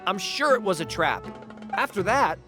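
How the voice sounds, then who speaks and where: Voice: mid-range voice, big pitch swings, normal speed, bright, forceful tone, soft background music. An actor playing a jumpy, aggrieved desert caravan leader in a video game, agitated and defensive.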